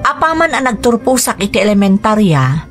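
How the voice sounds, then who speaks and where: A woman's voice: fairly high pitch, sing-song, a little fast. A woman with an Ilocano accent playing an apologetic, submissive daughter in a radio drama, with music underneath. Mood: sad, reflective.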